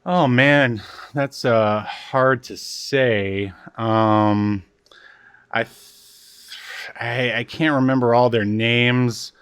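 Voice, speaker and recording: A man's voice: mid-range voice, fairly even intonation, extremely slow, fairly bright tone, smooth. A man co-hosting a podcast in casual, unscripted chat, hesitant and understated with dry humour. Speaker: podcast co-host.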